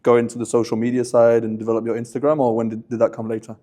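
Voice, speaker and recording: A man hosts a relaxed sports interview, asking long, chatty questions at a brisk clip. His voice sits at an ordinary middle pitch for a man. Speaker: interview host. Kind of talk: casual interview.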